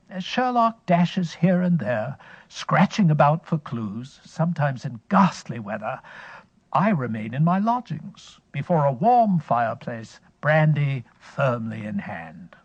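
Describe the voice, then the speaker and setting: A wry, sedentary elder brother, amused and leisurely, with a lively, rising and falling delivery, fairly high for a man. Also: husky, no room sound, lo-fi sound, heavily acted. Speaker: series host in character. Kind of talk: scripted radio-style drama monologue.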